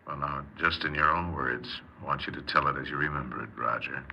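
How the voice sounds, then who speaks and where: An actor playing a calm, formal official who prompts a confession in a 1950s radio crime drama. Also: male voice, extremely low pitch, a little fast, creaky, dull audio. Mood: patient probing. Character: calm, official male interrogator taking a confession. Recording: very noisy 1950s radio recording.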